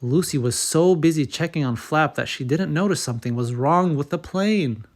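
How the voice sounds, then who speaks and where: A man narrating a children's storybook as host, in a measured, expressive read-aloud manner. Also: a little high. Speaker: storybook reader and channel host.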